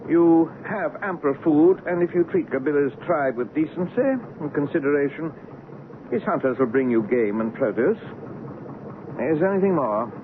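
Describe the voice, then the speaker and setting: Man playing stern trading director, highish animated voice, formal commands, radio drama, muffled noisy recording. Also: moderate pace, natural voice. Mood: authoritative. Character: stern, businesslike Dutch trading company director. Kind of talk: old-time radio drama acting. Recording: muffled old radio broadcast, noisy background.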